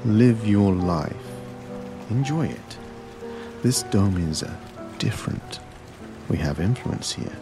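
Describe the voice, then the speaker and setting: An actor playing a calm, controlling resistance agent in an audio drama, speaking in a deliberate, gravelly way. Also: male voice, fairly low pitch, music in the background. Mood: measured, cryptic. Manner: slow, deliberate, measured.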